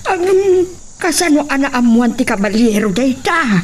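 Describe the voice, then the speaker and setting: A woman's voice: on the high side, lively intonation, normal speed. A woman acting in a radio drama as a fretful, pitying older village auntie. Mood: anxious. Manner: fretful, wailing exclamations. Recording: noisy track with cricket-like chirping.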